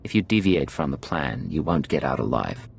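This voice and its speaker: Hard-edged secret agent, low gravelly voice, terse, threatening, video game cutscene. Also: male voice, big pitch swings, normal speed, heavily acted. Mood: menacing.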